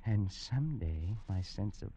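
A man's voice: deep voice, lively intonation, a little slow, mellow. An actor playing a feverish, tormented man haunted by a curse, in an agitated, brooding 1940s radio drama style. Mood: grim dread. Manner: agitated, brooding, swinging from whispers to cries. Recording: noisy old radio recording.

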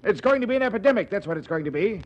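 An irate American police commissioner in vintage radio drama style. His voice sits fairly high, and he blusters and barks impatient orders at his subordinates, demanding results. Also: expressive, very fast. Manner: forceful, exasperated, barking orders. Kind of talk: 1940s radio drama acting.

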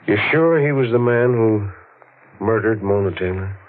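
An actor playing a grave, methodical district attorney with an official, deliberate manner in a 1940s radio drama. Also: male voice, on the low side, a little hiss or hum, close to an everyday voice. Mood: businesslike. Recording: tinny old radio broadcast.

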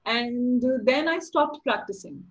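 A woman's voice: fairly high pitch, lively intonation, slow. Slightly nasal woman, playful guest. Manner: instructive, playful, laughs often. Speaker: podcast guest, voice-over artist. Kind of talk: casual interview.

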